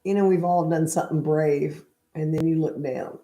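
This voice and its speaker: A middle-aged woman in a gentle read-aloud style with casual, chatty asides and a halting, unhurried manner. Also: sits low, fairly even intonation. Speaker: spiritual healer reading a picture book aloud.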